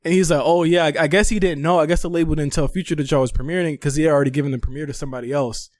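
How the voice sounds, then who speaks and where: Guy hosting a podcast, kinda high voice, super casual n animated, swears a lot, dry sound. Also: racing, no room sound. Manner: animated, slangy, swearing freely. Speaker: podcast host interviewing a guest. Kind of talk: casual storytelling and interview chat.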